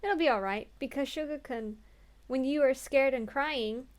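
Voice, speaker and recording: A woman's voice: sits high, moderate pace. A young woman playing through a story game, reading the text aloud earnestly and breaking off into crude, swearing jokes.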